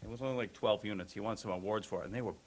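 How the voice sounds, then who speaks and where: A man's voice: on the low side, noisy background. A man presenting a building project to a town board at a public meeting, speaking earnestly with frequent restarts. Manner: earnest, halting, with many restarts.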